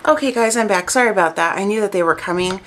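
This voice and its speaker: A woman in her thirties or forties, hoarse and stuffy with a cold, chatting casually and rambling about crafts at home. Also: mid-range voice, quick pace, fairly bright tone, slight background noise. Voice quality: raspy. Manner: chatty, rambling, lots of "um" fillers. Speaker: crafting vlogger. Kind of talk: casual chat to camera.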